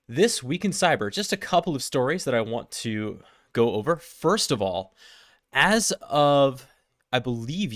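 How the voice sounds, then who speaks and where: A man's voice: medium pitch, a bit bright, dry recording, studio quality. Chatty man with a head cold. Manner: chatty, laughs between points. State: sniffly with a cold. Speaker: livestream host. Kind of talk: casual tech news livestream.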